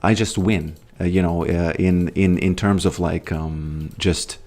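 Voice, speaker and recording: Low-voiced man streaming a game. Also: fairly even intonation, measured pace, a little mellow. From Latvia. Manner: rambling, musing, greets chat. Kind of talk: casual gaming livestream chat.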